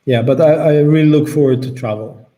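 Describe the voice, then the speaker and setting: Relaxed Austrian man, mellow voice. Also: fairly high pitch, a little fast. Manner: relaxed, hesitant, restarts sentences. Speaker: co-host of a travel Q&A. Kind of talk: casual live chat.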